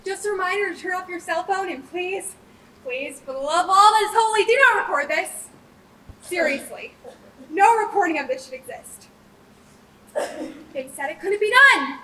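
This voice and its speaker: A woman's voice: extremely high pitch, moderate pace, long pauses, sharp tone. A teenage girl playing a flustered, exasperated young stage director who addresses an audience with sarcasm. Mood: exasperated warning. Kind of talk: comedic stage monologue to an audience. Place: theatre stage at a competition. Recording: distant stage microphone, faint noise.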